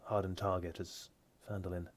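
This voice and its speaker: A man voicing a formal male knight giving a measured briefing in a tabletop game. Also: low-pitched, flat delivery. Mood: grave.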